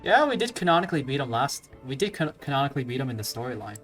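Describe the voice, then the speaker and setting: Wry young man, mid-pitched gaming commentary. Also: very animated, racing, music in the background. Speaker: let's play streamer. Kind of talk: casual game commentary.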